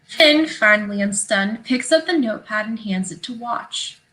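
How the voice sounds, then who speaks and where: A woman's voice: a little high, animated, normal speed, a bit bright, rough recording. A woman reading out stage directions as scripted narration for a play.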